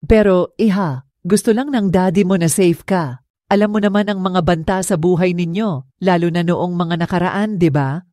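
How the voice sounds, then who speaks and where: A woman doing a gentle older housekeeper. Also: lowish voice, moderate pace, dry recording, studio quality, lightly acted. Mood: coaxing. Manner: gentle, coaxing, calls the girl "iha". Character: older female housekeeper, kindly, patient, motherly. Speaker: voice actor. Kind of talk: audio drama acting.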